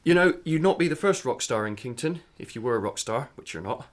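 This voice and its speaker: Actor as hesitant, kindly man, awkward, rambling, lively intonation, naturalistic radio play. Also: mid-range voice, fairly quick, lightly acted. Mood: flustered. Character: awkward, curious, kindly small-town man. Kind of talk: naturalistic radio drama acting.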